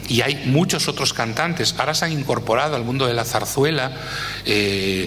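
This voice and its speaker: A man speaking as an expert guest in an interview, explaining at length in an animated way and often tagging phrases with a questioning "eh". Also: mid-range voice. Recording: echoey hall with faint noise.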